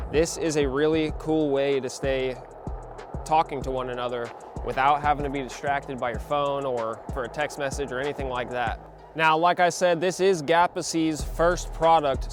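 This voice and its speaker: A young man giving a casual, conversational product review in an informal vlog style. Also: a little high.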